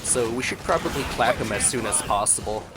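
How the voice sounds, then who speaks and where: A man's voice: medium pitch, lively intonation, fairly quick, very noisy. A man giving casual gameplay commentary as a streamer, slightly under the weather. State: mildly sick. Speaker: game streamer. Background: game music.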